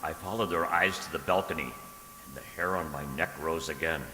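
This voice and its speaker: A man's voice: extremely low pitch, fairly even intonation, a little fast, over music. A man acting an earnest, nervous poet in a radio drama, intense and given to stammering as he tells his tale. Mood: hushed dread. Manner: intense, stammering when spoken to. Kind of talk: dramatic first-person radio narration and acting. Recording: echoing reverberant hall sound.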